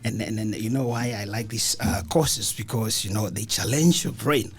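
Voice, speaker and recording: A man speaking as an interview guest, telling his story in a halting way and often repeating words. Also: lowish voice, husky. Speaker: guest, engineering academic. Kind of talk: radio interview, personal story. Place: radio studio.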